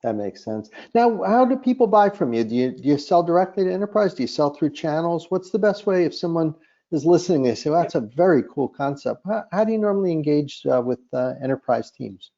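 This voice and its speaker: A man's voice: a little high, animated, a little fast, vocal fry, dark tone. An older man hosting an interview, asking questions and paying compliments. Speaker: interview host. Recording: muffled lo-fi audio.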